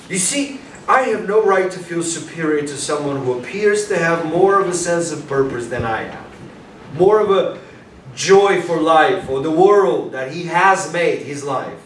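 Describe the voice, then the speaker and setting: Young male detective, played by a man, earnest, unsure, theatrical; slightly high voice, echoey room. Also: a bit bright. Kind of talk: staged play reading, theatrical acting. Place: small indoor performance room with audience. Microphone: far camera. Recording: roomy, distant mic, faint noise.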